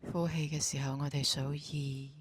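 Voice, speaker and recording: A woman with a Hong Kong Cantonese accent guides a meditation in a soft, breathy voice, speaking calmly and giving gentle instructions. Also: low-pitched, no room sound. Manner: slow, calm, gently instructing.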